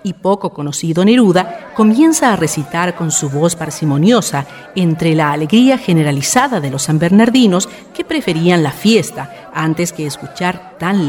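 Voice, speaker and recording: A woman's voice: on the low side, lively intonation, normal speed, hardly any pauses, darkish tone, a faint crowd. A woman with a Chilean accent narrating a scripted radio drama. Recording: long reverb, guitar music behind.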